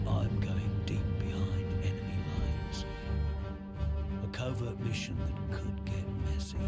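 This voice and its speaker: A man playing a confident sniper and covert operative in a game cutscene, dry and understated, with a gravelly voice. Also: deep voice. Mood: dry, assured. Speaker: game protagonist and narrator. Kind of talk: dramatic game cutscene narration. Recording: music behind the voice.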